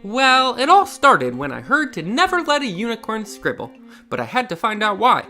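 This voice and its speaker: Man voicing an animated, wide-eyed young child storyteller. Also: high-pitched, normal speed, faint music behind, pitched above the performer's own voice, full character voice. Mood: breathless confession. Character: excitable young child telling of a scribbling unicorn.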